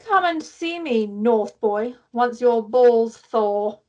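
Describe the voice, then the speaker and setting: A haughty young prince read by a woman in an amateur script read. The voice is high and takes its time. The delivery drips with sneering sarcasm and mockery. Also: lively intonation, slow pace, a little mellow, muffled recording. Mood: taunting. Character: haughty, mocking teenage boy prince.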